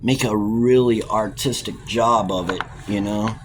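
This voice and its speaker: A man doing a restless gentleman full of grand schemes, brisk and enthusiastic, with upper-class turns of phrase in a storybook reading. The delivery stays deliberate and unhurried overall. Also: on the low side, steady tone, noisy background, close to an everyday voice. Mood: eager. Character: eager, restless young gentleman with big plans.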